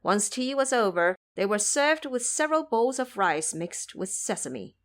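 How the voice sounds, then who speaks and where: A woman's voice: a little high, sing-song, unhurried. A woman reading scripted narration for an audio drama adventure story.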